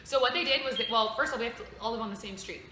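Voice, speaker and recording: A young woman tells animated stories in a casual chat and acts out what people say. Her voice sits high and very bright. A roomy echo and faint noise hang behind her. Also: very fast. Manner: animated storyteller, acts out quotes. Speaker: singer in a family band. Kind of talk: casual group chat on a livestream. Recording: echoey room with faint noise.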